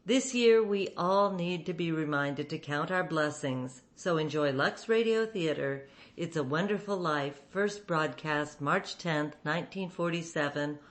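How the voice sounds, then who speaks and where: A woman's voice: sits low, measured pace, hardly any pauses. A woman hosting, reading a scripted introduction for a radio program. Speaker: podcast host.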